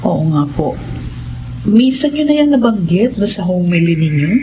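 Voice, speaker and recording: A woman's voice: lowish voice, sing-song, normal speed, warm tone. An actress playing a respectful churchgoing woman seeking answers, polite and deferential, in a Filipino radio drama. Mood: respectful, searching. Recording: dull radio drama audio, faint noise.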